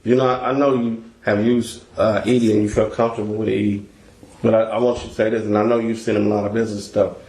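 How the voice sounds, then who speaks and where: Quick, lowish male interrogator in echoey room. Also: little pitch movement, darkish tone. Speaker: police interrogator. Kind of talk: interrogation, informal persuasion.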